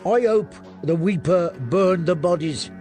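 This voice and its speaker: A man voicing a dour, gloomy soldier of a sworn brotherhood in a fantasy audiobook, in a deadpan manner. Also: high-pitched, a little slow, soft background music, voice pushed up.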